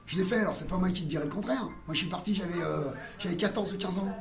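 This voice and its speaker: A man's voice: high voice, fast talker, gravelly. A middle-aged man talking casually in a street interview, rambling and slangy, with many fillers and musings. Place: outdoors on a town street. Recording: roomy sound with loud music behind.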